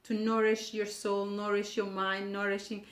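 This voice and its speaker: A woman from Portugal with a Portuguese accent gives an informal explanatory wellness talk to camera, haltingly, repeating words for emphasis. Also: medium pitch, little pitch movement. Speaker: wellness vlogger. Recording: echoey room.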